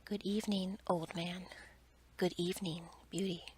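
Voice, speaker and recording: A woman voicing a stern, formal male beast from a storybook in a breathy ASMR whisper. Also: fairly low pitch, little pitch movement, close to an everyday voice. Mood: formal, questioning. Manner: measured, formal, commanding. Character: stern, commanding male beast, lord of a palace. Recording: noticeable background noise.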